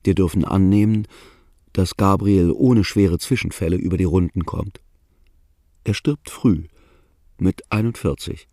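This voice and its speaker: A man narrating a radio play in a calm, measured, reflective way. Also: low voice, dry recording, studio quality.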